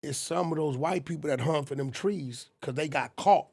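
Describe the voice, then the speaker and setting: A man in his forties telling street stories in casual, animated talk on a podcast. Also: fairly high pitch, normal speed, gravelly, slightly rough. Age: 40s. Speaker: podcast guest. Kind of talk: casual storytelling.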